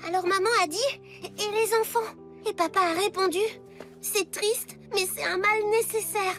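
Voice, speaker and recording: Cartoon little girl, very high pitch, earnest, anxious, expressive, faint music behind. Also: normal speed. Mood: worried. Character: earnest, anxious young girl scheming to keep parents together. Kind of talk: animated cartoon dialogue.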